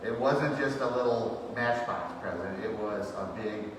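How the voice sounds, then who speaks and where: A man's voice: mid-range voice, normal speed. A man from Illinois, a distillery owner, telling stories in a friendly way with many fillers. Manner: friendly, storytelling, hesitant fillers. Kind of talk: casual interview answers. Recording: echoey hall from far off with noise.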